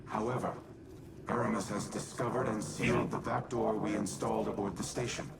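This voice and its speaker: A grave gravelly machine mind. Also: male voice, fairly low pitch, steady tone, over a noisy crowd. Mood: commanding. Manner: measured, formal and grave. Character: ancient male warmind AI, solemn and calculating. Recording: game audio in a reverberant space with loud music.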